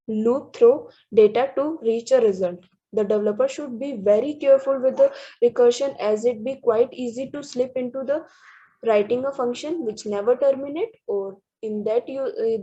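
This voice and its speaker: Woman presenter, husky and mellow, halting slide walkthrough, lo-fi sound. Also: fairly high pitch. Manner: halting, restarts words, many fillers. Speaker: student presenter. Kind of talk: reading through programming slides. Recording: dull lo-fi recording.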